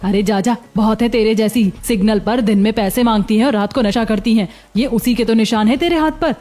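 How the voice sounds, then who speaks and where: A woman's voice: on the high side, fairly quick, dark tone, close to an everyday voice. Haughty, scolding temple lady played by a woman. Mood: scornful. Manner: scolding, contemptuous. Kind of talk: Hindi cartoon story acting.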